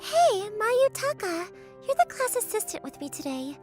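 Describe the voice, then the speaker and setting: A woman voicing a brisk, breezy teenage schoolgirl in a manga dub. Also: very high voice, big pitch swings, darkish tone, faint music behind.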